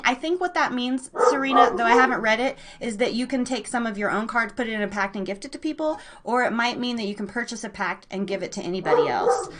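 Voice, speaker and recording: High pitched lady hosting a live online show, bubbly n excitable, rambly slangy chat with listeners. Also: heavy background noise. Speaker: host of a live internet radio show and podcast. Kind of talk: casual rambling livestream chat with an online audience.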